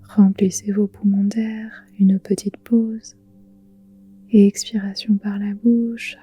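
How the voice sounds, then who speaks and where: Soft whispery woman, very slow meditation guide. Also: mid-range voice, little pitch movement, breathy edge. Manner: soothing, unhurried, gentle pauses. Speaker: yoga nidra guide. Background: soft music with singing bowls.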